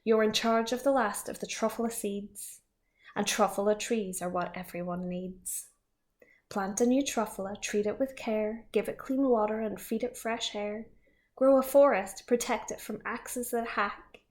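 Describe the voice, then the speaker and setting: A woman teacher reading a picture book aloud to children, lively and expressive. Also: medium pitch, measured pace. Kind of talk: storybook read-aloud.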